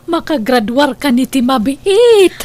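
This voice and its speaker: A woman voicing a tender, emotional old grandmother with an Ilocano Filipino accent and drawn-out delivery in a family audio drama. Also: sits high, sing-song, darkish tone. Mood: tender, proud.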